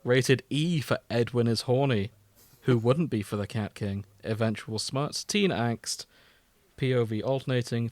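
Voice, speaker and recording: Male podcast host, medium pitch, conversational, close and dry sound with no room. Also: no room sound. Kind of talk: casual chat, reading out fan fiction tags.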